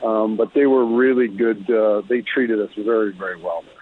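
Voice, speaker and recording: Male, middle-aged, Canadian, husky tone, relaxed interview storytelling, dull lo-fi audio. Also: lowish voice, moderate pace, no echo. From Alberta, Canada. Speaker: former pro hockey player, interview guest. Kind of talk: casual reminiscing interview. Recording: dull phone-like lo-fi sound.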